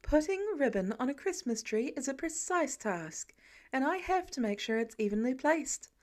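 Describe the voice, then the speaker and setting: A woman's voice: high-pitched, sing-song, normal speed. A woman voicing an exasperated, teasing wife in a story, with a wry, firm manner.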